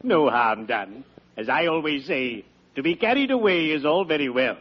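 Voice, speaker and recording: A man acting a genteel, mournfully polite undertaker with formal, drawn-out droll delivery in an old radio comedy. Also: a little high, sing-song. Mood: calm, chiding. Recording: dull, hissy old radio recording.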